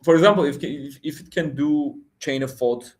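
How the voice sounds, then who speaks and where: A man's voice: a little high, moderate pace. A man whose first language is Armenian, hosting a casual tech livestream chat, laughing between points and restarting his sentences. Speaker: livestream host.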